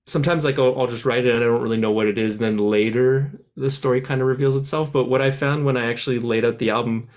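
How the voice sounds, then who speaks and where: A man, born in France and raised in Canada, talking at length as a guest musician in a casual interview, with many restarts and filler words. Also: mid-range voice.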